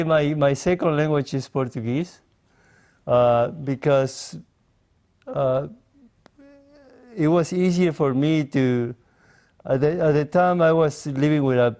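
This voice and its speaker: A man in his forties from Mexico, speaking English as an interview guest, in a slow, halting way with many pauses and fillers. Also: fairly high pitch, darkish tone. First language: Spanish. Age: 40s. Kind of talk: casual interview conversation.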